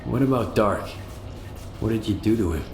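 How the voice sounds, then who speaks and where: A man plays a hardened stalker who speaks bluntly and presses with questions. His voice sits very low. The sound is roomy, with game music running behind. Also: normal speed, close to an everyday voice. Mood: wary. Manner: blunt, questioning, plain-spoken.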